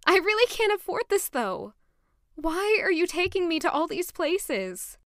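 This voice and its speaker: High-voiced, earnest young noblewoman. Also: sing-song, measured pace, a bit bright, dry recording, studio quality, exaggerated voice. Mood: confused, anxious. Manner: hesitant, sincere. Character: earnest, bewildered, emotional young noblewoman, twenty-four. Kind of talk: romantic fantasy audio drama acting.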